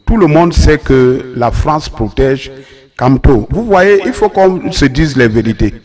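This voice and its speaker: A man speaking as a guest in a political panel debate, measured and pausing with euh. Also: medium pitch, expressive, a little mellow.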